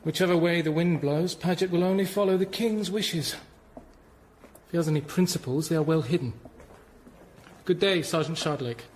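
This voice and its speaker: An actor plays a discreet Tudor official in a radio play. The male voice is a little high. He is respectful and shrewd, with slight background noise. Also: moderate pace, long pauses, close to an everyday voice. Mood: careful, shrewd. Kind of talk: radio drama acting.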